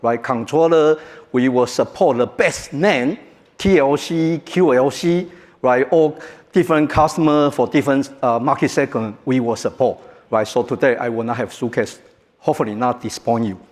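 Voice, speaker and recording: A man's voice: mid-range voice, darkish tone. Taiwanese-accented man in a reverberant hall. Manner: joking, halting, non-native phrasing. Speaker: keynote speaker. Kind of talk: conference keynote talk. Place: large conference hall.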